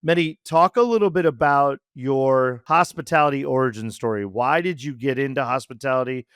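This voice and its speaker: A male podcast host speaks in a conversational interviewing style. His mid-range voice is smooth and clean, recorded dry with no room sound. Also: medium pitch, moderate pace, a bit bright, no echo.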